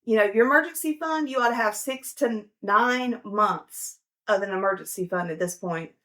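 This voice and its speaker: A woman's voice: mid-range voice, normal speed, a bit bright. A woman in her forties giving casual, down-to-earth money advice, chatty and full of fillers. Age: 40s. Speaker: personal finance host. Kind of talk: casual advice talk to camera.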